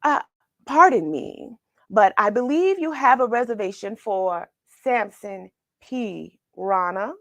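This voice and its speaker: A woman voicing a nervous, polite piranha gentleman in disguise for a storybook, speaking haltingly and very formally. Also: medium pitch, animated, dry recording. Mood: nervous politeness.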